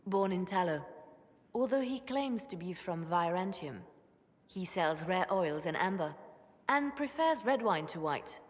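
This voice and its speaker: An actress playing a shrewd, composed female spymaster in a video game, measured and quietly resolute. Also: fairly low pitch, lively intonation. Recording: long reverb of a stone hall.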